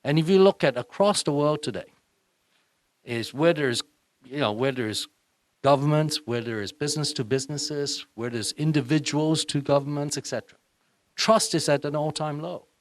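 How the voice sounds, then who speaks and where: A man's voice: fairly high pitch, moderate pace. A man from Hong Kong, a senior executive, giving panel remarks with hesitant starts and emphatic repetition.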